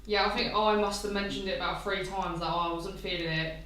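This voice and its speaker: Loud, giggly woman co-host. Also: fairly low pitch, fairly even intonation, a little fast, reverberant, slight background noise, rough recording. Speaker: podcast co-host. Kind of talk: casual chat.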